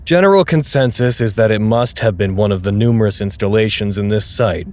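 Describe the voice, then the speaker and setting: Actor as slightly low, measured male log-keeper. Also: a little mellow, lightly acted. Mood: matter-of-fact. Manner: measured, dry, explanatory. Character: matter-of-fact man recording a log. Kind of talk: audio drama log narration.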